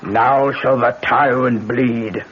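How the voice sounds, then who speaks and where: An actor playing a fierce, vengeful older voodoo practitioner in an old-time radio drama, speaking in a deliberate, incantatory way on a noisy recording. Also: mid-range voice, steady tone, breathy edge, highs cut off. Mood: bitter, vengeful.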